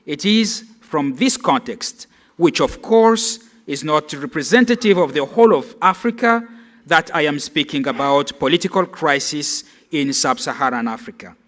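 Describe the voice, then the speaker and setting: A man in his forties from Cameroon reads his conference paper aloud. His voice sits high for a man, and his delivery is measured and formal. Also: lively intonation, a bit bright. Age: 40s. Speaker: conference speaker. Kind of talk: reading a prepared lecture.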